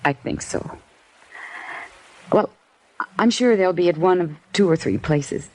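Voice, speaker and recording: A woman's voice: lowish voice, expressive, measured pace, darkish tone, poor audio. An actress playing a nervous young waitress in an old radio drama, stammering and hesitant as she hides what she knows. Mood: pleading. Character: nervous young woman diner waitress hiding secrets. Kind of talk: 1950s radio drama acting.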